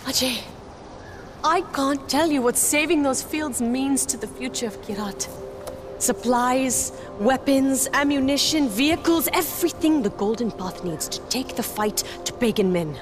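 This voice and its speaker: An actress playing a fierce, ambitious young woman who leads a rebel army in a video game cutscene. She speaks in a forceful, impassioned and persuasive way. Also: high-pitched, unhurried, music in the background. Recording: loud game score behind.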